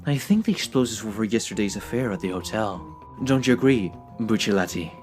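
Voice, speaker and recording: Man playing a quick, thoughtful young gangster. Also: on the low side, animated, darkish tone, faint music behind, lightly acted. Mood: thoughtful, cautious. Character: thoughtful, cautious young male gang member. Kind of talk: audio drama acting.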